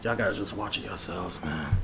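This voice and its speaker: Casual, rambling man over clear background noise. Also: sits low, fairly quick. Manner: slangy, rambling, familiar. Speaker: ASMR barber roleplay creator. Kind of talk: ASMR roleplay with casual chat.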